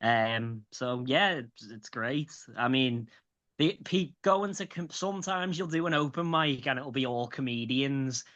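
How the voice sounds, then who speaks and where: Bright-voiced man with a light Scouse accent. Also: mid-range voice, animated, measured pace, muffled recording. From grew up in Liverpool. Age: late 20s. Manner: chatty, sweary, many restarts. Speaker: stand-up comedian guest. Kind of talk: casual interview chat.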